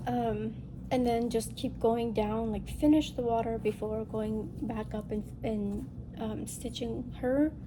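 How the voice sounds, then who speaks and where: Noticeable background noise sits behind a woman with a fairly high voice. She sounds nasal and stuffed up, as someone recovering from illness does. She talks informally and ramblingly to her viewers, hosting a craft show-and-tell and often correcting herself as she goes. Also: fairly even intonation, unhurried. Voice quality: nasal, congested. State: getting over a respiratory illness. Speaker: host of a cross-stitch video channel.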